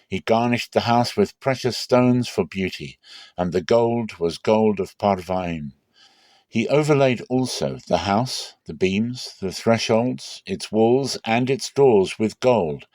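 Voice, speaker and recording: Male narrator, fairly low pitch, dramatic scripture reading, measured and solemn, dry close-miked sound. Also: a little mellow, no room sound. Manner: solemn, measured, deliberate phrasing. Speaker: Bible reader.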